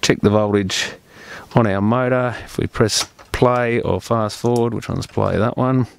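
A man's voice: lowish voice, fairly even intonation, unhurried, creaky, slight background noise. A man presenting an unscripted hands-on repair tutorial as a technician, explaining each step in a plain-spoken, practical way.

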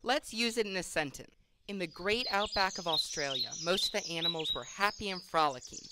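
A man's voice: sits high, brisk, very noisy. A young man presenting a word-of-the-day segment in a playful, mock-dramatic reading. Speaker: student presenter. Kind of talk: scripted comedic segment.

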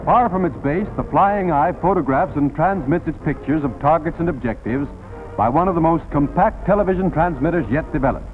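A man's voice: a little high, a little fast. A man with an American accent narrating a 1940s newsreel in a dramatic, declamatory announcer style. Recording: tinny vintage film soundtrack, music behind. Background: newsreel music.

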